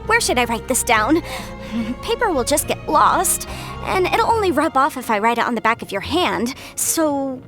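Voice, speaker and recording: A woman's voice: high voice, lively intonation, normal speed, over music. A woman voicing a confident, chatty young female legal adviser in an anime-style video game, articulate, theatrical and self-assured. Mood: bubbly.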